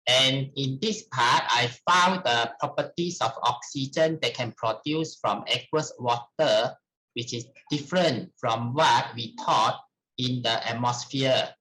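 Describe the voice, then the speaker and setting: A middle-aged man with a Malaysian accent gives a formal academic presentation in a halting, deliberate manner. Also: mid-range voice, sharp tone, rough recording. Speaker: researcher presenting at a conference.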